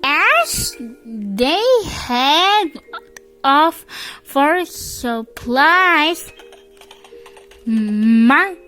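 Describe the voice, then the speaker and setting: A very high, childlike voice reads a picture book aloud at a slow pace. The delivery is halting, with stumbles and repeated words, and the pitch moves up and down in a lively way. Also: soft background music. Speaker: storybook reader.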